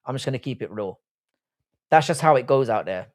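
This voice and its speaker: A British man in his forties hosts a live chat at a middling pitch. He talks casually and bluntly, answering comments as they come. His manner is crude and jokey, full of everyday slang. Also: animated, a little fast. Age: early 40s. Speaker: livestream host.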